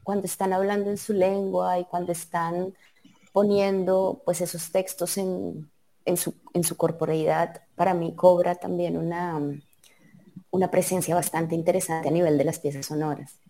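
A woman's voice: on the low side, flat delivery, normal speed, dark tone. A woman, a sound artist, talking casually about her work, hesitant and searching for words with many restarts.

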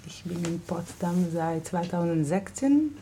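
A woman from Israel with an Israeli accent, an actress and theater director, speaking German slowly, searching for words and laughing often in a radio studio interview. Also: lowish voice, expressive, dark tone, breathy edge.

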